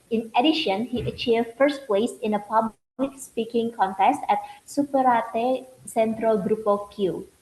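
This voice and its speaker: A woman moderates a student webinar in a polite, formal tone. Her voice is fairly high, and the room adds a clear echo. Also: a little mellow. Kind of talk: scripted moderation.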